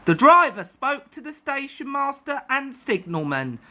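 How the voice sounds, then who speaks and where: A woman storyteller reading a children's tale aloud in an expressive narrating style. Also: sits high, unhurried.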